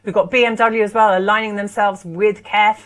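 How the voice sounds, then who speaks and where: Woman, art sales presenter, enthusiastic persuasive pitch, mid-range voice. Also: little pitch movement, moderate pace. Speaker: auction presenter.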